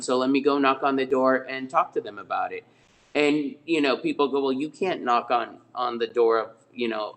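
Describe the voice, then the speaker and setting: A middle-aged man talks through his real estate stories at an ordinary, mid pitch, leaning on ums and uhs and often restarting his sentences. He speaks as a guest on a video-call webinar. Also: fairly bright tone. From Spain. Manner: rambling, many fillers and restarts.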